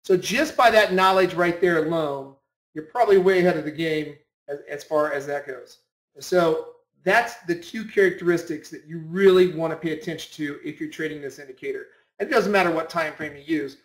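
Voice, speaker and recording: A man's voice: fairly high pitch, moderate pace, rough recording. A man giving an informal instructional talk, explaining emphatically with repetition and rhetorical questions. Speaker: trading instructor. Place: voice-over on a screen recording.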